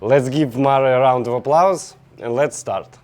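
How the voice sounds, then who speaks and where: A man hosting an event who welcomes the audience and introduces the guest speaker. He speaks haltingly, pausing on "uh". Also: mid-range voice, very noisy. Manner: halting, with frequent "uh" pauses. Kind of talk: brief welcome announcement.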